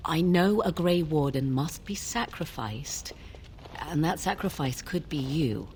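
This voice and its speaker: An actress playing a mysterious, guarded young sorceress in a fantasy game, speaking in a cool, formal, archaic manner. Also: female voice, low voice, lively intonation, unhurried, a little mellow, slight background noise. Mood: cool, persuasive. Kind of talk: fantasy video game dialogue.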